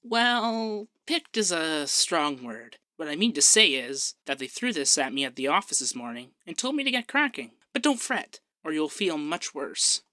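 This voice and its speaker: Man playing a bright-voiced peppy young femboy barrister. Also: on the high side, sing-song, moderate pace, few pauses, dry recording. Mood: peppy, flustered.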